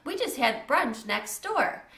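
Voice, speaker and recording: A woman's voice: sits high, very animated, slow pace, bright, forceful tone, roomy sound, voice pushed up, heavily acted. A woman voicing a friendly, curious young schoolboy in a storybook, with an eager, casual manner.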